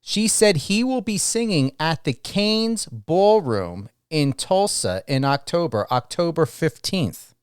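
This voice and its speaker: A man hosting a radio interview in an unscripted style, rephrasing his way through questions in a halting manner. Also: a little high, very animated, clear, resonant tone, no echo, studio quality. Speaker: radio show host.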